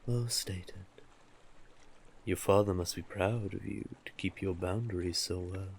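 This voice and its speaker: A monstrous yet civil male sea witch acted by a man speaks in a low, very unhurried voice. He is soothing and teasing, with courtly manners and lingering endearments, as in an intimate audio drama. Noticeable background noise sits behind the voice. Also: fairly even intonation, vocal fry.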